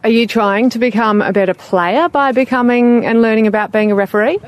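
An interviewer with a mid-pitched voice. The questions are prepared and delivered in full sentences to a guest. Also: brisk.

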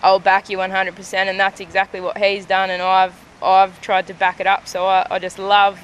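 A young woman starting out as an auctioneer, with a deep voice, talking eagerly and with determination. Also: monotone, normal speed, fairly bright tone, highs cut off, a little hiss or hum. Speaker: trainee auctioneer.

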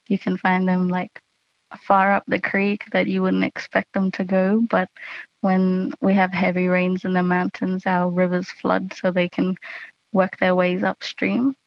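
A young woman in her late teens from Queensland with an Australian accent, sharing words from her language in a relaxed, earnest personal talk with casual fillers. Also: mid-range voice, no echo, lo-fi sound.

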